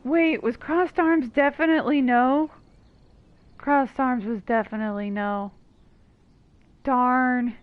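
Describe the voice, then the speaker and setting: A woman's voice: sits high, fairly even intonation, slow pace, pauses often, phone-quality sound. A woman giving casual, playful and informal reactive commentary while playing a video game. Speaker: gamer commentating on a playthrough. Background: game music.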